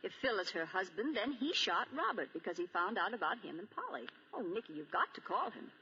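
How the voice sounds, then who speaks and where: Inquisitive society wife, animated, bright, coaxing, playful, old radio drama, faint noise. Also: female voice, mid-range voice, brisk, breathy edge, heavily acted. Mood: excited, urging. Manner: coaxing, pestering questions. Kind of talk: 1940s radio acting. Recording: muffled, faintly noisy 1940 radio broadcast.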